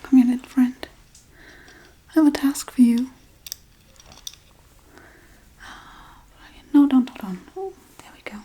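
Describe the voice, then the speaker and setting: A woman voicing a playful, forgetful, menacing witch in a hushed, coaxing, whispery ASMR role-play. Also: sits high, moderate pace, voice pushed up. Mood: coaxing, gentle. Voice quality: breathy, whispery.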